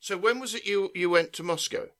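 A man hosting an interview, speaking haltingly with frequent restarts and stammers. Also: sits high, bright, forceful tone. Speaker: interviewer host.

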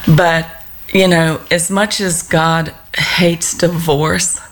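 Woman, sixties, candid, laughs easily, very low pitch, gravelly. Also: flat delivery, very slow. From United States. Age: 60s. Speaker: guest sharing her testimony. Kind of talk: personal testimony interview.

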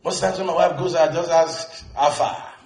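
A man preaching a lively sermon as pastor, with jokes, asides and laughter, in a church. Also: on the high side, normal speed. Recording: echoey church with music.

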